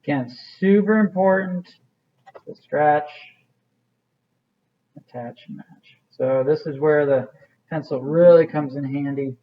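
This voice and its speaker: A man's voice: on the high side, slow pace, pauses often, highs cut off. A man teaching an art class, walking students through a clay project in a casual, rambling way with many fillers. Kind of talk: classroom demonstration.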